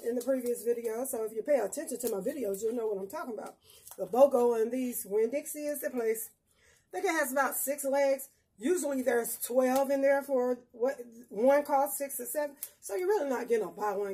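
A woman's voice: a little high, darkish tone. A middle-aged woman vlogging casually from a small home kitchen, talking bluntly and cussing freely as she chats to her viewers. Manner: chatty, blunt, cusses freely, addresses viewers as y'all. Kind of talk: casual grocery haul vlog. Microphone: phone camera a few feet away.

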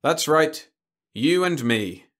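A man voicing a cool, sly diplomat in an audiobook, speaking in a measured, dryly formal way. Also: on the high side, expressive, fairly bright tone, pitched above the performer's own voice. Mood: matter-of-fact. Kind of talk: audiobook character dialogue.

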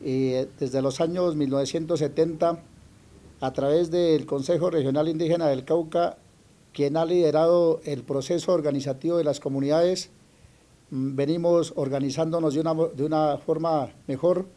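Man, mellow warm tone, slightly high, measured, earnest. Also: steady tone. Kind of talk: interview talk.

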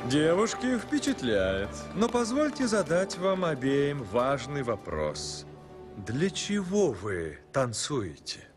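A man playing a demanding, theatrical ballet master in a dubbed animated film, dramatic and exacting. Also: a little high, takes it slowly, big-room sound, music in the background, heavily acted. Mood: measured, probing. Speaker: ballet teacher. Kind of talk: animated film dub acting.

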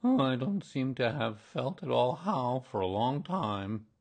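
A gloomy storybook donkey, acted out by a man, speaking very slowly in a husky voice. He is self-pitying and resigned, and drags out each phrase mournfully. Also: mid-range voice, animated, a little mellow, voice pushed up, full character voice. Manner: mournful, drawn-out, resigned delivery. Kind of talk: children's storybook character reading.

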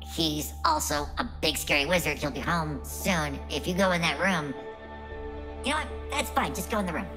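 A man's voice: on the high side, animated, moderate pace, over music, close to an everyday voice. A man voicing an indignant little pseudodragon servant in a tabletop game, whining, blustering and swearing. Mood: warning. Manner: whiny, protesting, blustering. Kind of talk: tabletop roleplay.

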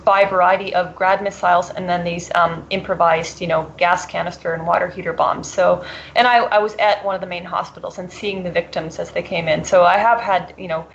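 A woman's voice: on the low side, steady tone, a little fast, a little hiss or hum. A woman answering interview questions earnestly, often restarting and filling with "you know". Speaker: interview guest reporting from abroad. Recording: dull lo-fi audio.

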